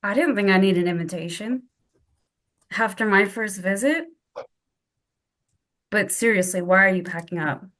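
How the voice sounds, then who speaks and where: A woman's voice: medium pitch, moderate pace, pauses often, fairly bright tone, lightly acted. A woman playing a cold, confrontational official in a drama table read, with formal, pointed delivery. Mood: snide.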